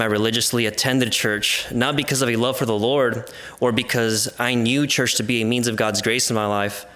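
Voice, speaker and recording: A man's voice: mid-range voice, bright, forceful tone. A man in his twenties with an American accent, a native Spanish speaker, reading a personal testimony in an earnest, formal way. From California and Arizona, United States. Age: 20s. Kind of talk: scripted personal testimony, read aloud.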